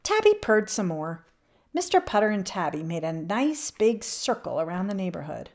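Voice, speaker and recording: A woman reading a children's picture book aloud expressively, with emphatic swells and hushed drops. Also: medium pitch, very animated, normal speed. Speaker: children's read-aloud channel host.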